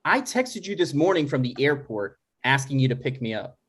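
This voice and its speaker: A man plays an exasperated grown son in his thirties, defensive and a little scheming. His pitch sits in the middle and swings around a lot as he argues through a comedy script. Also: sing-song, normal speed, a bit bright, slightly rough. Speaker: writer and actor. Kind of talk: live comedy table read.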